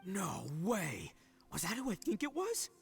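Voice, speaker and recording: A man's voice: high-pitched, wildly swooping pitch, unhurried, vocal fry, faint music behind, full character voice. A man voicing a brash, loyal, big-hearted young gang boss in a video game. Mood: hyped, admiring.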